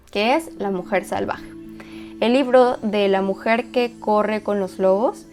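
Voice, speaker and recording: Woman, choreographer, measured and thoughtful, mid-pitched voice, faint music underneath. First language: Spanish. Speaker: dancer and choreographer. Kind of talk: explanatory walkthrough.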